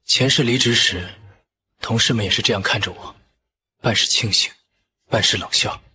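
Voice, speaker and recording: An actor playing a sardonic, world-weary young prince in an animated fantasy drama, with wry, unhurried asides. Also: male voice, lowish voice, husky, dry recording, exaggerated voice. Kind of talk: Chinese animated fantasy drama acting with inner monologue.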